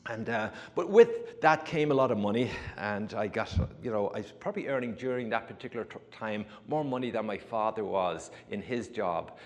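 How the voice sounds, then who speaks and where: A man's voice: fairly low pitch, lively intonation, normal speed, hardly any pauses. Irish man in his fifties, echoing church. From Ireland. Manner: chatty storytelling with humorous asides and frequent restarts. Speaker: guest giving a testimony. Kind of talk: personal testimony told to a congregation. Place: church sanctuary. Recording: church hall reverb.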